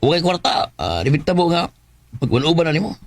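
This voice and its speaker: Brash, higher-pitched Cebuano buddy. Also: male voice, very animated, fast talker, no echo. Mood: scoffing. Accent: Filipino, Cebuano. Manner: cajoling, scoffing, blunt. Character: brash, worldly male buddy, pushy and mocking. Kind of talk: Bisaya radio drama acting. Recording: radio drama over prominent music. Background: prominent background music.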